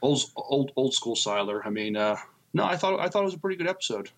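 A man co-hosting a podcast in casual chat, halting and repeating words as he thinks. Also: mid-range voice, sing-song. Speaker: podcast co-host.

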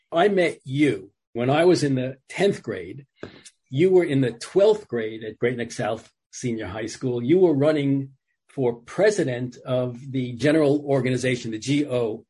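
Husky-voiced male podcast host. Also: mid-range voice, lively intonation, unhurried, darkish tone. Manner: friendly, reminiscing questioner. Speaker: host, interviewer. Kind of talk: conversational interview.

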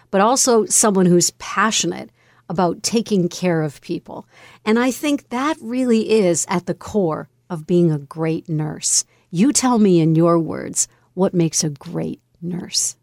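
A woman's voice: lowish voice, a little mellow, no echo, studio quality. A woman hosting an interview, asking friendly, encouraging questions. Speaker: interview host.

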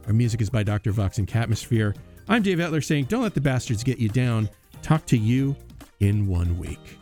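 A man's voice: mid-range voice, lively intonation, moderate pace, dark tone, faint music behind. An American man in his fifties hosting a podcast in a conversational way. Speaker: podcast host.

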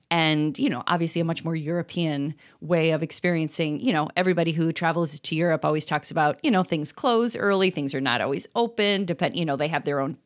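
A woman co-hosting a parenting podcast, talking in a thoughtful, rambling way with many pauses and ums. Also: low-pitched. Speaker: podcast co-host. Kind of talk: casual chat.

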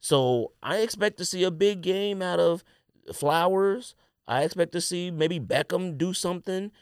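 An American man co-hosting a podcast, chatting casually about sports in an animated, opinionated, slangy way. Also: sits high, unhurried, clear, resonant tone, no room sound, studio quality. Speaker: sports and sneaker podcast co-host.

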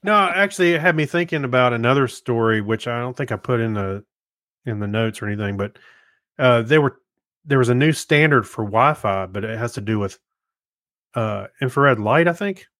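A man's voice: mid-range voice, expressive, moderate pace, a bit bright, no room sound, studio quality. A man co-hosting a podcast in casual chat, explaining things in a laid-back, tentative way. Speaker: podcast co-host.

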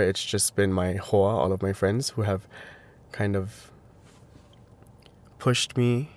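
Brief: young man from Hawaii, lowish voice, halting, filler-heavy interview answers. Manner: hesitant, many fillers and restarts. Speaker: interviewee, language teacher.

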